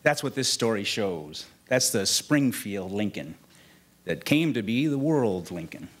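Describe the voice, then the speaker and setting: An actor playing a folksy, sincere film star guesting on 1940s radio, plainspoken, reflective and unhurried. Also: male voice, lowish voice, sing-song. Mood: reflective, warm.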